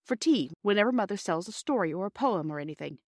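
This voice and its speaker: A woman's voice: medium pitch, lively intonation, fast, no echo, studio quality. A woman voicing a proud, earnest and impatient young schoolboy in a storybook, with a boyish, blurting manner. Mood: eager, confiding.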